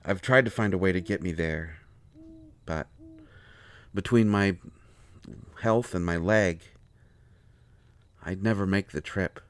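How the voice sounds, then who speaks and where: A man playing a proud, hurt, lovestruck healer in an intimate audio roleplay, speaking earnestly and haltingly with deep feeling. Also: clean tone. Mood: weary, explaining. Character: proud, wounded, lovelorn male healer with a limp.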